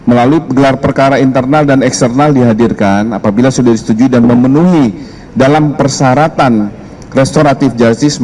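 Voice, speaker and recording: A man's voice: mid-range voice, a little mellow, rough recording. A man giving a spoken statement to the press as a police spokesman.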